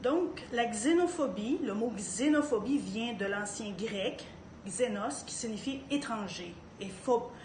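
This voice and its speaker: A woman's voice: a little high, lively intonation, unhurried, a little mellow, reverberant, slight background noise. A woman from Canada with a Canadian French accent, speaking to camera in a casual monologue, hesitant, with many fillers and restarts. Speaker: party member speaking to camera.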